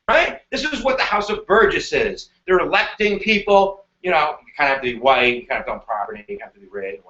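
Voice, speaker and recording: High, bright-voiced teacher in an echoey room. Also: male voice. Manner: playful and slangy, jumping into goofy voices. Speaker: history teacher hosting a live review. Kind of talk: energetic live-stream lesson. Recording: dull echoey room sound.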